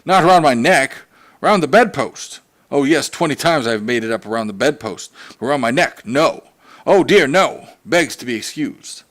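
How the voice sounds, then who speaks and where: A man's voice: mid-range voice, expressive, moderate pace, close to an everyday voice. A man voicing a blustering Victorian father in a sarcastic rage over his tie, reading it aloud from a storybook. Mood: furious sarcastic. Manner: loud, exasperated, mock-dramatic.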